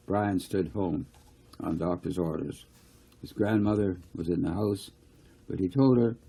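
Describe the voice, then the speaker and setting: A man's voice: sits low, gravelly, dark tone, slightly rough. A man narrating his own novel in a storybook reading style.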